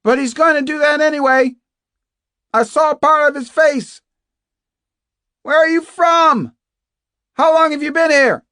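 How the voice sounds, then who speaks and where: A woman voicing a defiant captive young woman doctor in an audiobook, shouting insistently and pleading in a strong, strained voice. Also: sits high, a little slow, long pauses, dry recording, clean studio sound, pitched above the performer's own voice. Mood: defiant, urgent. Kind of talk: dramatized audiobook dialogue.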